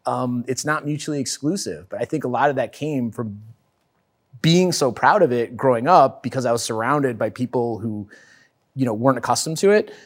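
A middle-aged man with a mid-range voice talks in a casual group discussion. He is wry and animated, often poking fun at himself. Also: mid-range voice, normal speed. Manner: wry and animated, self-deprecating. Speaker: panel guest.

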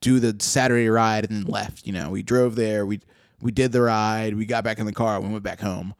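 A man's voice: on the low side, fairly even intonation, fairly quick, no echo, clean studio sound. A man in his late thirties hosting a casual chat, rambling, laughing and swearing freely. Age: 30s. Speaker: podcast host. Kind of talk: casual chat about moped rallies.

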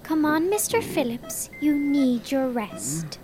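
High, lively nurse, noisy recording. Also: female voice, slow pace, exaggerated voice. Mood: playfully firm. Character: brisk young hospital nurse, firm but playful. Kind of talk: radio drama dialogue. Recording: clearly noisy background.

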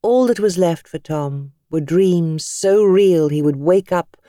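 A British woman reading fiction as an audiobook narrator, with measured, expressive, dramatic storytelling. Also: on the low side, no echo, clean studio sound.

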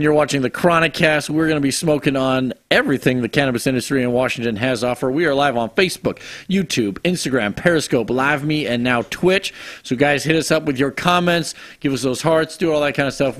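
Male host, raspy voice, easygoing chatter, dry close mic. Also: mid-range voice, fairly bright tone, no room sound. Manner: laid-back, chatty, swears casually. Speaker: show host. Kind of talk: casual live-stream chat.

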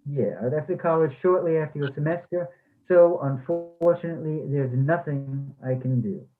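An actor playing a regretful, aggrieved middle-aged former English professor, speaking in measured, formal phrases. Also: male voice, a little high, soft background music, close to an everyday voice. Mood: apologetic, regretful. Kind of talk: play reading over the phone and in dialogue. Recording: thin, tinny phone-like sound.

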